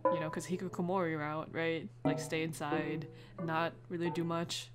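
A woman doing casual, expressive playthrough commentary as a gamer, often exclaiming as she reacts. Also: deep voice, moderate pace, over loud music. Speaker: gamer, let's play host.

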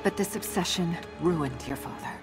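A woman's voice: lowish voice, moderate pace, music in the background, close to an everyday voice. A woman playing a concerned, sceptical middle-aged family friend in a video game. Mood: pleading. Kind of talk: cinematic video game acting.

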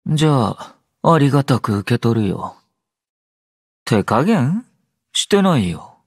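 An actor playing a drowsy, low-energy young male android soldier in an anime game, aloof yet gentle, with a languid, understated delivery. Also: big pitch swings, slow, breathy edge, dry recording, studio quality, exaggerated voice. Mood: drowsy, nonchalant. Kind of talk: Japanese anime game character voice lines.

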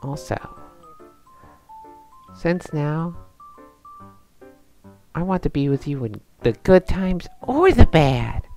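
Woman doing a lovesick hedgehog girl for a cartoon, kinda low voice, swoops around, coy n pushy, music behind. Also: sing-song, takes it slowly, pauses often, dark tone, heavily acted. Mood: earnest, loving. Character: lovestruck, earnest young female hedgehog, sweet but pushy. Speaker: voice actor in a fan comic dub. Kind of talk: acted cartoon comic dub.

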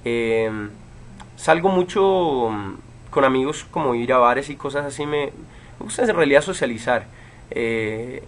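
A man in his twenties, from Chile, casually answering fan questions on a livestream from a room at home, relaxed, with many fillers and pauses. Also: mid-range voice, slight background noise. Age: 20s. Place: home room with bookshelves, indoors. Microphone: webcam.

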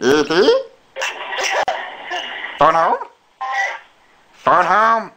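A woman voicing a small, insistent, plaintive alien creature in a comic phone prank, with a drawn-out questioning delivery. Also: medium pitch, big pitch swings, creaky, exaggerated voice. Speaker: prank caller. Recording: noisy lo-fi telephone prank recording.

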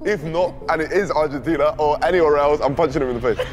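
A man competing on a guessing game show, loud and teasing, often laughing. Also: on the high side, quick pace, loud music behind the voice. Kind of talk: excitable banter.

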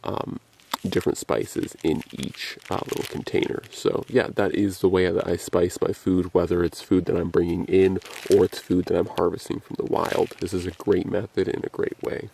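Low, monotone young man, gravelly. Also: normal speed. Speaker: outdoor vlogger. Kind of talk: casual explanatory talk. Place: outdoors in woods. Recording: faint background noise outdoors.

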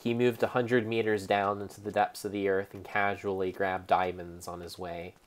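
A young man giving a theatrical, mock-serious dramatic narration over gameplay, speaking deliberately like a storyteller. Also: sits low, flat delivery. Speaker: game streamer.

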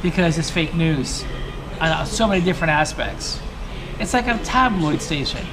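A man's voice: a little high, expressive, normal speed. A man with an American accent in casual family chat, picked up by a handheld camera. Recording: noisy, distant handheld camera audio.

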